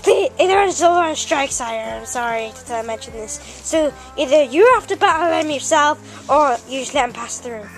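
A woman's voice: high-pitched, expressive, moderate pace, hardly any pauses, soft background music, voice pushed down. A woman playing a meek, apologetic servant who speaks to a ruler in a subdued, hesitant way in an amateur fan drama. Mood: meek, deadpan.

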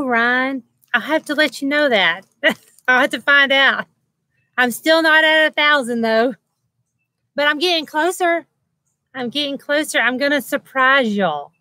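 A woman's voice: a little high, a bit bright, no echo. Chatty woman on a live stream. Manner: chatty, laughs often, many ums and restarts. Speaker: livestream host. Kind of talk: casual live chat reading comments. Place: outdoors by a house wall.

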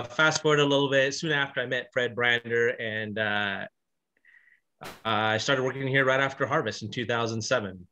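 A man from California, a winemaker, telling his story steadily with small fillers on a video call panel. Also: medium pitch. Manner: plain, steady recounting with fillers.

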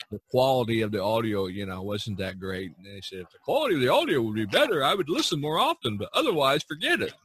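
A man hosting a casual podcast over a rough internet-call connection. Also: mid-range voice, fairly bright tone. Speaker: podcast host. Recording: poor internet-call audio, faint music.

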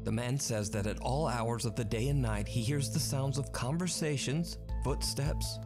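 A man's voice: mid-range voice, normal speed. A man giving scripted, dramatic narration for a countdown of ghost videos. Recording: played video with music behind.

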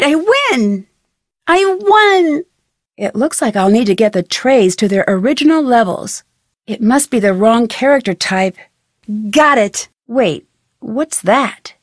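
A woman's voice: fairly high pitch, no room sound. Curious young american girl sleuth from a video game, bouncy intonation, talks to herself, super dry close recording. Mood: triumphant. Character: curious, determined young female amateur detective. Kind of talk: video game voice acting, thinking aloud.

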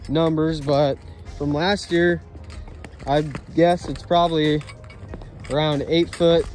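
Casting: American male fishing vlogger, slightly high voice, folksy and informal, music behind. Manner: folksy, informal, plain-spoken. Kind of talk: casual outdoor vlog chat to viewers. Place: outdoors by a river.

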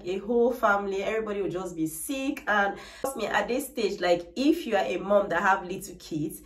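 A woman in her thirties chatting casually to camera as a vlogger, full of "like" and "trust me", filmed indoors without a mic. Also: medium pitch. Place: bright decorated room indoors. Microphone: camera mic, no microphone. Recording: echoey room, voice a little distant.

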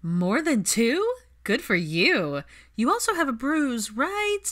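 A woman narrator plays a brash young best friend, nosy and gleefully teasing. The voice jumps high and loud, in audiobook storytelling. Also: very animated, slow, heavily acted.

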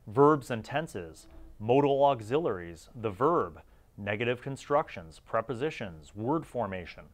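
A man's voice: medium pitch, expressive, measured pace. A man giving a casual, rambling review as a channel host, informal and enthusiastic, thinking aloud.

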